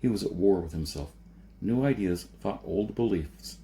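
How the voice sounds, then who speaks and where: A lower-voiced man narrates a science fiction audiobook in a soft, mellow tone. His reading is unpolished and homemade, with a lively rise and fall, over faint background noise. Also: normal speed. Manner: amateur reading with slips and retakes. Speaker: audiobook reader.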